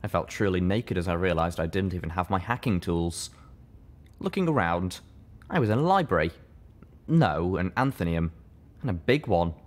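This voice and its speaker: Very deep-voiced male story narrator. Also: lively intonation, moderate pace. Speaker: fan fiction reader, narrator. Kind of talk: scripted first-person story narration.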